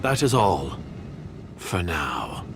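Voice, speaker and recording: Calculating villain agent, lowish voice, animated intonation, curt, disdainful, guarded, video game. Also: male voice, drawn-out words, creaky, very noisy, exaggerated voice. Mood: guarded, impatient. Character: shady male foreign agent, suspicious, impatient, calculating villain.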